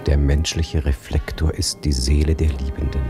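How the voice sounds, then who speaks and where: A man's voice: very deep voice, level tone, moderate pace, loud music behind the voice. An actor playing a solemn, mysterious man who declaims in an artistic radio drama. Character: solemn, mysterious man intoning cryptic titles.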